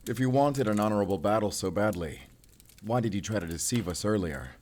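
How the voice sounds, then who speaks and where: Deep-voiced stern werewolf hunter played by a man. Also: animated, fairly quick, a little mellow, a little hiss or hum, natural voice. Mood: questioning, guarded. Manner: terse, commanding, suspicious. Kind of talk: cinematic audio roleplay acting.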